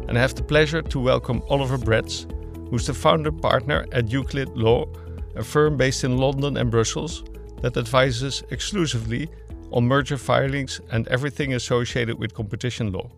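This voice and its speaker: A man's voice: mid-range voice, fairly even intonation, moderate pace, faint music behind. A man whose first language is Dutch, hosting a studio podcast and asking polite, structured questions. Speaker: podcast host. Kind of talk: hosted podcast interview.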